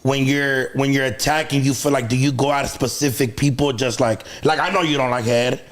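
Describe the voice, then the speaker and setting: A comedian hosting a podcast, talking loosely and rambling with many restarts. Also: male voice, medium pitch, fairly even intonation, fairly quick, a crowd in the background. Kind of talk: casual podcast discussion.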